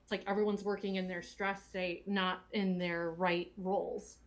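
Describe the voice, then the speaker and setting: A woman chatting in a group commentary, explaining her thoughts through drawn-out comparisons. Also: lowish voice, little pitch movement. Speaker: panelist in a cast and crew commentary. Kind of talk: casual group discussion.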